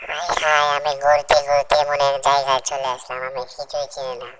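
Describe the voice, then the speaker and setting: A woman voicing a small, excitable, greedy female tailorbird in a children's cartoon, exclaiming to herself in a childlike way. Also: deep voice, a little fast, no echo, lightly acted, low-quality recording. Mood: frightened, pleading. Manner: exclaiming, wonder-struck, self-talking.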